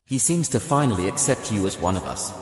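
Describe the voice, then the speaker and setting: A man's voice: lowish voice, very animated, brisk, faint music behind. A man playing a gallant, centuries-old count in an audio drama, formal and devoted, with echoey reverb. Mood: courtly, devoted. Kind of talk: scripted audio drama dialogue.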